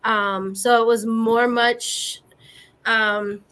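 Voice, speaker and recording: A woman's voice: a little high, flat delivery, a bit bright. Earnest woman from the US Midwest, Spanish her first language. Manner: reflective and earnest, many filler pauses. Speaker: guest panelist, community organizer. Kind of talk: casual panel chat. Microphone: video call.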